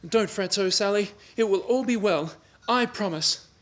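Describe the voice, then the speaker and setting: An actor playing an earnest, reassuring young husband, in 1930s radio-play style. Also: male voice, sits high, moderate pace, fairly bright tone.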